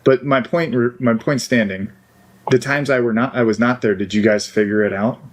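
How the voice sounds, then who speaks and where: A man's voice: medium pitch, fairly even intonation, moderate pace. A man in a phone argument, pressing his point with insistent questions. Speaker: caller.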